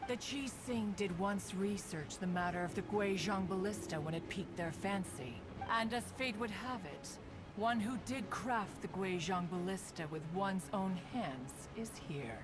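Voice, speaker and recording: A woman's voice: medium pitch, lively intonation, unhurried, natural voice. A woman voicing a haughty, ancient immortal guardian with lofty, archaic speech in an anime game. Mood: proud. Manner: lofty, archaic, calls self "one". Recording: music behind the voice.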